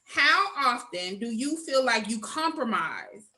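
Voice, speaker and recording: A woman hosting a panel talk, keeping it lively as she sets up each question. Her voice is bright and a little high, heard over a video call. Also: takes it slowly. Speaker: host.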